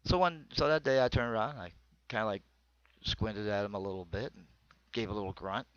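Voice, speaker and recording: A man's voice: lowish voice, brisk, dull audio. A middle-aged man with an American accent tells comic personal stories in a colloquial, rambling, crude-humored way. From small town in northwestern Pennsylvania, United States. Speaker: comedian telling stories. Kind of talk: comic personal storytelling monologue.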